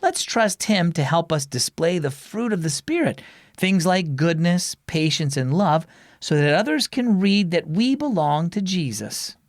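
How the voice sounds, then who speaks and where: Man voicing an encouraging storybook mom, expressive. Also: on the high side, unhurried, no echo, studio quality. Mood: instructive. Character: gentle, encouraging mother in a children's story.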